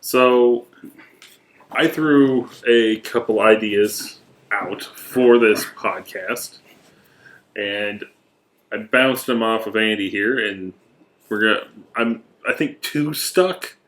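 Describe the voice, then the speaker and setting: A man's voice: on the low side, slow, fairly bright tone, poor audio. A man co-hosting a podcast, chatting casually with a friend in a joking, sometimes mock-dramatic way. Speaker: podcast co-host. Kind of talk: casual banter between friends.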